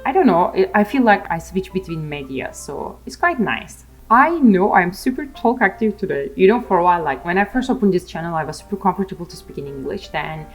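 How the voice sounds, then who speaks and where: A woman's voice: on the low side, lively intonation, brisk, hardly any pauses, rough recording. A young woman with a Turkish accent, chatting casually and self-mockingly over her art vlog. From Turkey. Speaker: art vlogger. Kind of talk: casual voice-over chat while drawing. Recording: faint music underneath.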